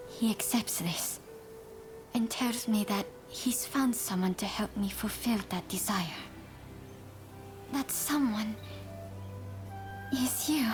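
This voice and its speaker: Gentle widow of old Japan, high voice, breathy, earnest, unhurried, game cutscene, clear music underneath. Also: female voice. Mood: tender, grieving. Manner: soft, breathy, formal and measured. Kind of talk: dramatic video game cutscene acting.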